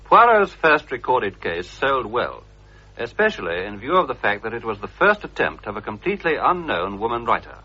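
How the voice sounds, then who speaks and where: A man reading scripted documentary narration in a measured, formal storytelling manner, from a dull old radio broadcast. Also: medium pitch, big pitch swings, pushed and bright, a little hiss or hum.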